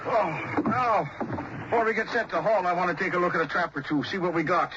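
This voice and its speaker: An actor in an old-time radio drama playing a bossy, folksy fishing boat skipper who barks out orders. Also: male voice, high voice, fairly quick, lo-fi sound, very noisy, lightly acted. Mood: bossy, hearty.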